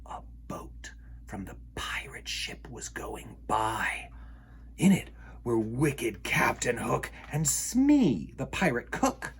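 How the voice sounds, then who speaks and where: A man telling a children's story in an animated, theatrical way, acting out the sound words. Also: mid-range voice, takes it slowly, slightly rough, whispered.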